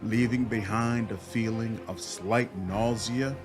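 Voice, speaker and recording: A man's voice: on the low side, steady tone, takes it slowly, over music. A man narrating a scripted voice-over as a gaming channel host.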